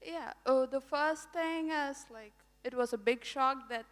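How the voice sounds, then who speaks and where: Higher-pitched Indian woman in a reverberant hall. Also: measured pace, fairly bright tone. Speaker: PhD student panelist. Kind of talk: informal self-introduction on a panel. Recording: reverberant hall over microphone.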